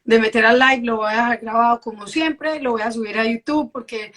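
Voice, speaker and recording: Earnest higher-pitched woman hosting a live. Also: fairly even intonation, brisk, a bit bright. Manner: earnest, encouraging. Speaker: host. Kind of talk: casual live-stream chat.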